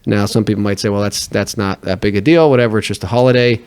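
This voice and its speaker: A man hosting a podcast, introducing guests in a relaxed, chatty way. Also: lowish voice, fast, smooth. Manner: relaxed, uses fillers and restarts. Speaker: podcast host. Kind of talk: casual talk show hosting.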